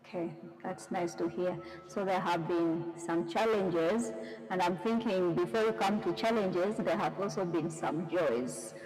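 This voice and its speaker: A woman's voice: on the low side, a little slow, a little mellow, in a large hall, faint music behind, rough recording. A woman, a religious sister, hosting a live interview, welcoming her guest and asking questions with some hesitant fillers.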